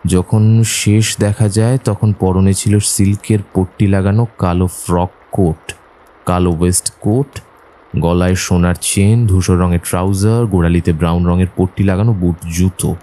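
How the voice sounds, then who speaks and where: A man playing an earnest, admiring doctor who narrates an audio drama in a measured storytelling way. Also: low voice, steady tone, mellow, close to an everyday voice. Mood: measured, attentive. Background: faint background music.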